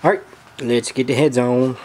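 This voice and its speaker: A young man hosting a garage vlog, chatting casually as he works on an engine, relaxed with lots of fillers. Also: medium pitch, normal speed. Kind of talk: casual chat while working on an engine. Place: home garage. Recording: noisy garage recording.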